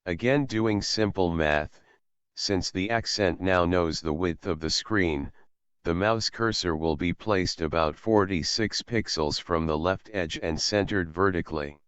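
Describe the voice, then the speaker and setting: A man calmly and methodically walking through a screen-recorded software tutorial as an instructor. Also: low voice, unhurried, clean tone, no room sound. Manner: calm, methodical explaining. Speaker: assistive technology instructor. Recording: slightly dull, dry voice-over.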